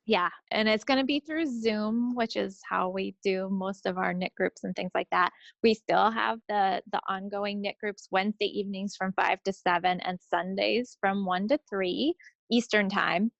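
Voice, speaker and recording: A woman's voice: medium pitch, normal speed, gravelly, no echo. An American woman co-hosting a podcast in casual chat, on a slightly dull recording. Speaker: podcast co-host.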